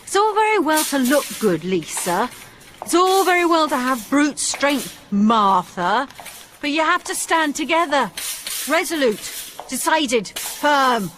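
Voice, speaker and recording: Imperious woman, high and animated. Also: measured pace, a little hiss or hum, exaggerated voice. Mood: commanding. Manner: bossy, fussy, scolding, precise. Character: imperious, exasperated woman, one of several voices. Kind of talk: intimate radio drama acting.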